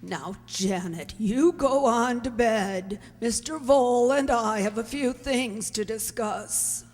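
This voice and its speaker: Elderly spinster, played by a woman, fond and hesitant radio-play voice, slow delivery, echoing hall. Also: on the high side, darkish tone. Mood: firm. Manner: unhurried, hesitant, repeats words. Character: fond, lonely elderly spinster, gentle but insistent. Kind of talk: amateur radio drama acting. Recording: echoey hall, faint music.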